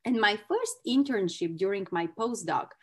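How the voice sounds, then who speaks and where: A woman's voice: mid-range voice, animated, moderate pace, slightly rough. A woman from Bulgaria with a Bulgarian accent, a clinical psychologist giving an effusive online training talk over a video call, with non-native phrasing.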